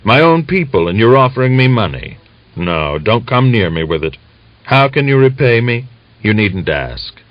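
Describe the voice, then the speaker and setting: A man's voice: mid-range voice, big pitch swings, moderate pace, no room sound, pitched above the performer's own voice, close to an everyday voice. A man reading an audiobook, voicing a weary, blunt country doctor. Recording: dull lo-fi audiobook recording.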